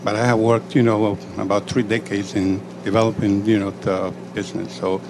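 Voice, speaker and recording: A man's voice: fairly low pitch, level tone. Middle-aged man from El Salvador, emphatic audience comment, faint room noise. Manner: measured, emphatic, with frequent "you know" fillers. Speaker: audience member, executive director representing Central America. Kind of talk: panel discussion question from the floor. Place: conference room.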